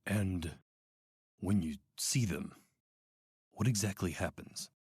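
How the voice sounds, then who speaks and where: A man playing a manipulative, middle-aged doctor in a radio drama, with a measured, clinical and coaxing way of talking. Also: low voice, a little mellow, breathy edge, no room sound, studio quality, heavily acted. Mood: probing. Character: calm, manipulative, sinister male doctor running an institute.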